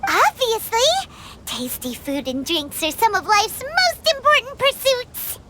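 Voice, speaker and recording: Chirpy fairy sidekick. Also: female voice, big pitch swings, a little slow, very noisy, heavily acted. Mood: excited. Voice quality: high, bright and chirpy. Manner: eager and blunt. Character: tiny floating female fairy companion, excitable. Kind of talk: game voice acting.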